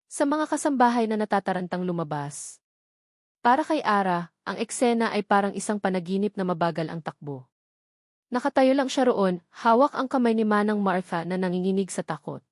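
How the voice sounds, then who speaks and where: A woman's voice: medium pitch, expressive, normal speed, dry recording, studio quality. A woman narrator reading a scripted dramatic story.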